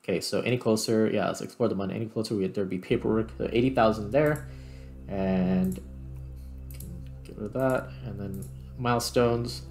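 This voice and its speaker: A man's voice: lowish voice, fairly even intonation, normal speed, a little mellow. A man hosting a gaming video, thinking aloud in casual commentary as he plays. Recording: music behind the voice. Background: background music.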